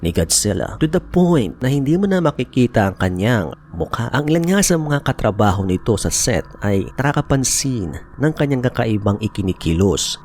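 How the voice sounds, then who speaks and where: Casting: Filipino male horror-explainer narrator, lower register, very expressive intonation. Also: a little hiss or hum. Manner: storytelling, switches between Tagalog and English phrases. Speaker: YouTube narrator.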